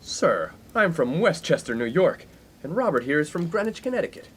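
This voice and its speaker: A man's voice: fairly high pitch, very animated, moderate pace, a bit bright, slight background noise. An actor playing a pompous, skirt-chasing young college man in a 1950s comedic radio play, given to grandiose, wordy speeches. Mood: earnest.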